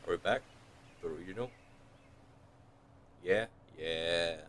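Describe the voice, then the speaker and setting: A man's voice: low-pitched, slow pace, fairly bright tone, a little hiss or hum. A man making sparse, casual remarks as he streams a video game. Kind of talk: sparse casual gameplay reactions.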